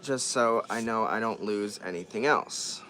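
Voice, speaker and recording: A man's voice: fairly low pitch, measured pace, pushed and bright, soft background music, rough recording. A man chatting casually as he works on a repair, thinking aloud and often trailing off and restarting his sentences. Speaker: vlogger repairing dolls. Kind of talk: casual chat while working.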